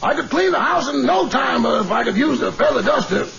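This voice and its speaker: An actor playing a sassy, put-upon household servant with a gravelly voice in a 1940s radio comedy. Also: male voice, very high voice, expressive, a little fast, over a noisy crowd, exaggerated voice. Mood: cheeky protest. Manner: cheeky, complaining. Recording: muffled, very noisy old radio recording.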